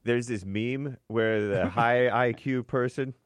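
A man's voice: medium pitch, takes it slowly, clear, resonant tone, no room sound. A man co-hosting a casual chat, dry and skeptical in his remarks. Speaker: co-host.

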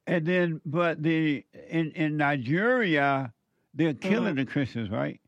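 Brash talk radio host, dry sound. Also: male voice, on the high side, animated, slow pace, no echo, lo-fi sound. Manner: brash, joking, laughs often.

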